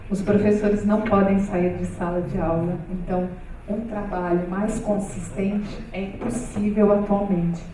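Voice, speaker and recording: A woman's voice: medium pitch, background noise. Woman moderating in an echoey hall. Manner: hesitant, many fillers, conversational. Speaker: panel moderator. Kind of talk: seminar panel moderation. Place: large hall with stage. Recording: echoey hall, far from mic.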